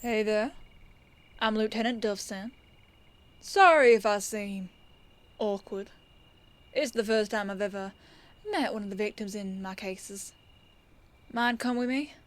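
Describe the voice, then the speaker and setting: A woman playing a composed police detective in an audio roleplay, firm yet kindly, with a measured, folksy, knowing delivery. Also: medium pitch, close to an everyday voice. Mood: gentle, reassuring.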